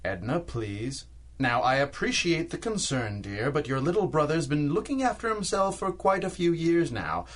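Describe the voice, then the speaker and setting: An actor playing a nervous, blustering middle-aged husband in a 1930s-style radio drama, with an exasperated, expressive manner. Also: male voice, fairly high pitch, normal speed. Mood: patient, placating. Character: nervous, blustering husband dismissing talk of ghosts.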